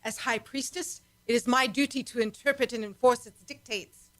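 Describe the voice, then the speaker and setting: An actress playing a dutiful, firm high priestess in a radio serial, speaking in a formal, declamatory manner. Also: female voice, fairly even intonation, normal speed, a bit bright, close to an everyday voice. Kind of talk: old-time radio serial acting.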